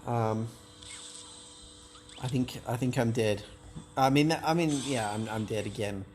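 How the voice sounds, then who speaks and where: A man's voice: medium pitch, a little slow, a little mellow, a little hiss or hum. A man commentating his own card game match, thinking aloud in a hesitant, self-doubting way, with many restarts and fillers. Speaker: gameplay commentator.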